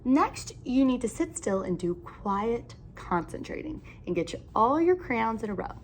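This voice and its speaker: A woman reading a children's picture book aloud in an animated, expressive storytelling manner with emphatic phrasing. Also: fairly high pitch, unhurried, slight background noise.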